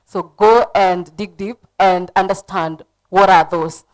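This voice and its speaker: A woman's voice: medium pitch, takes it slowly, low-quality recording. A woman with a Kenyan accent speaking as a panelist at a conference, giving direct, earnest advice.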